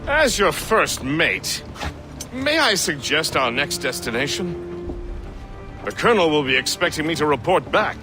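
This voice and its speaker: An actor playing an eager, obliging first mate on a sailing ship in a video game, theatrical in manner. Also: male voice, fairly high pitch, sing-song, measured pace, music in the background. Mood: cheerful. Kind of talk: dramatic video game acting.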